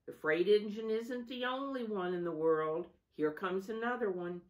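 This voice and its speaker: A woman's voice: medium pitch, normal speed, lo-fi sound, pitched above the performer's own voice, natural voice. A woman voicing a hopeful, eager little toy clown in a storybook, calling out to others.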